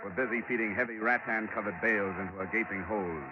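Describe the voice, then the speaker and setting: An actor playing a wry insurance investigator in a 1940s radio drama, speaking in a clipped, matter-of-fact way. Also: male voice, fairly low pitch, quick pace, natural voice. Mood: dry, matter-of-fact. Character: wry freelance male insurance investigator, narrating his case. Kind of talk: 1940s radio drama acting, scripted narration. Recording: muffled 1940s radio recording with music.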